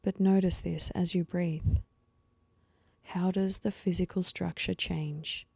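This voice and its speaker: A woman leading a guided meditation with slow, soothing instructions and pauses, her voice soft and at times breathy. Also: fairly low pitch, fairly even intonation, no echo.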